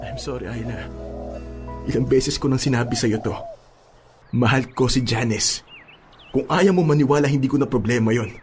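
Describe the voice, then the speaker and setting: A man's voice: medium pitch, normal speed, long pauses, natural voice. A man acting a young man in a radio drama, firmly and wearily turning away his ex-girlfriend. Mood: firm, weary. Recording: music under the voice.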